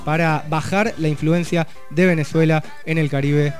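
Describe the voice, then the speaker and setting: Man, higher-pitched radio host, casual news rundown with asides, faint music under. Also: normal speed. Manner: relaxed, conversational, reads news items with asides. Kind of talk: community radio news chat.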